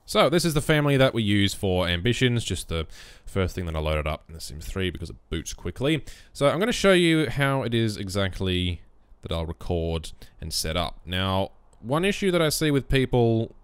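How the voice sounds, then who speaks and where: A young man with a bassy voice explaining his recording setup in a relaxed, rambling way, close on a USB condenser mic on a boom arm. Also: normal speed, hardly any pauses, smooth, dry recording. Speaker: YouTube gaming creator. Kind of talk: casual screen-recorded tutorial.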